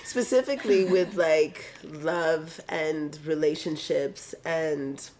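A woman's voice: low voice, slow, a little mellow, a little hiss or hum. A woman from Kenya, around forty, a film director being interviewed, explaining things casually with examples while sounding congested. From Kenya, of Tanzanian family. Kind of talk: casual reflective interview talk.

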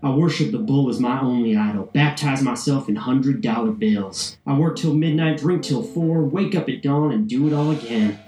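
A burnt-out investment banker played by a man confesses in a mellow, mid-pitched voice with poetic intensity. The radio drama sounds vintage, with crackle, a roomy echo and music underneath. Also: moderate pace, few pauses, natural voice. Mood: driven, proud. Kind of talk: dramatic monologue in a 1940s-style radio play.

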